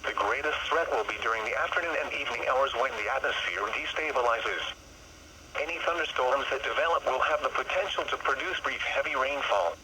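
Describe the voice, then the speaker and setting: Clear noise sits over a weather radio broadcast. An American man with a medium-pitched voice reads a scripted bulletin. His delivery is formal, measured and automated, like an official announcer. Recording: noisy weather radio reception.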